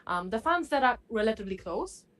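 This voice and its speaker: A woman giving her views as a guest in an academic panel discussion, halting often as she goes. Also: on the high side, very animated, a bit bright. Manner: halting, frequent hesitations.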